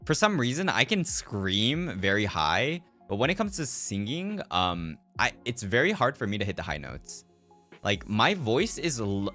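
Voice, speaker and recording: Deep, bright-voiced man chatting, lively pitch. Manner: rambling, reflective, repeats own phrases. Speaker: virtual streamer. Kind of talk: casual chat to live viewers. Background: background music.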